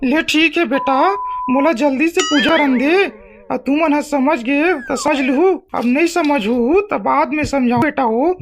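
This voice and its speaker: A woman's voice: sits high, measured pace. A woman voicing a doting, scolding yet patient village mother in a comedy cartoon, with a Chhattisgarhi accent. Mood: patient explaining. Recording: music behind the voice.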